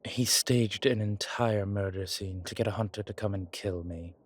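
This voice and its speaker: A man playing an old, vain, genteel vampire in an intimate audio drama, speaking formally and theatrically with hesitant pauses. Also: fairly low pitch, natural voice. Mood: rueful, hesitant.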